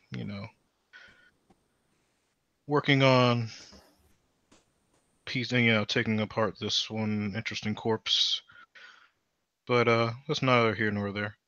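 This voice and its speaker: A man's voice: lowish voice, fairly bright tone, no room sound, lo-fi sound. A man voicing a shuffling undead bone merchant with a thick Turkish accent who fumbles through his words.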